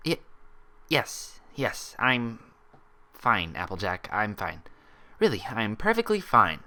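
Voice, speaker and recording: A man's voice: on the low side, huge pitch swings, slow pace, sharp tone, heavily acted. A man voicing an anxious, paranoid young female pony, thinking feverishly, in a storybook reading. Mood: shaky, hesitant. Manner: hesitant aloud, feverishly theorizing in thought. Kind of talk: storybook character voice in fan fiction reading.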